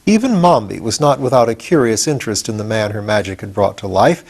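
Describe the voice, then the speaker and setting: A man, reading a children's story aloud as expressive audiobook narration. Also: on the low side, fairly quick, vocal fry. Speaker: audiobook reader.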